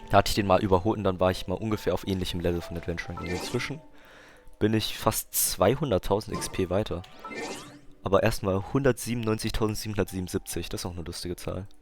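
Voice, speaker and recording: A young man with a low voice gives casual gameplay commentary as a native German speaker. He rambles along and drops in English gaming terms, with faint music in the background. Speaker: gaming streamer.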